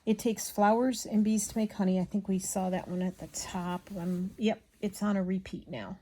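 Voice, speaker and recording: A woman chatting casually as she hand stitches, rambling and absent-minded, muttering to herself, with a slightly gravelly voice. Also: mid-range voice, moderate pace, mellow. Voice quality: slightly gravelly from a frog in the throat. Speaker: craft vlogger.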